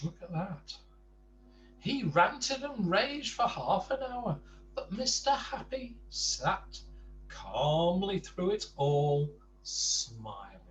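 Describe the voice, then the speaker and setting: A man reading a children's storybook aloud in an expressive, emphatic way. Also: high-pitched. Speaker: bedtime story reader. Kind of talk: animated children's storybook narration with casual asides. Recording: distant mic with faint background noise.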